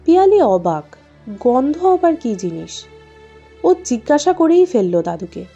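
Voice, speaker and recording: A woman's voice: high voice, sing-song, unhurried, dark tone, breathy edge, muffled recording, faint music behind. A woman reading a scripted story as its narrator.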